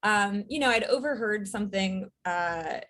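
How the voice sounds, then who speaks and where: A woman's voice: mid-range voice, unhurried. Woman guest, interview, thoughtful, filler-heavy, casual talk. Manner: thoughtful, many fillers, laughs softly. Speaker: guest, writer and director.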